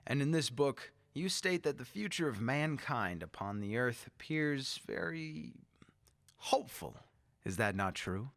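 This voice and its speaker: Gloating, swooping megalomaniac dictator. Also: male voice, medium pitch, lively intonation, creaky, a bit bright. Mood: silky, probing. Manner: theatrical, taunting, drawn-out emphasis. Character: megalomaniac male dictator, gloating, mockingly courteous, murderous. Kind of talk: radio drama acting.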